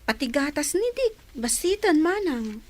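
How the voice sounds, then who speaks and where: A woman's voice: sits high, sing-song, measured pace, darkish tone. A woman acting as a fretful younger sister in a dramatised audio story. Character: younger sister, fretful about household shortages.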